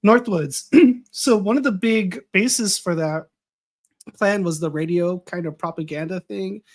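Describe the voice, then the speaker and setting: Man from the US, slightly higher-pitched, chatty podcast guest who rambles, voice a bit worn. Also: a little slow. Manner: rambling, with many fillers and restarts. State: losing his voice a little. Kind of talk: casual conversation.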